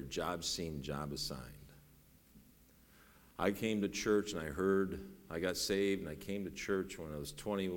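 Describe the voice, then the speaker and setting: A middle-aged man with a bass voice preaching a sermon in a folksy, self-deprecating way, rising to raised, urgent preaching. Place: church sanctuary. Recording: long reverb in a large hall.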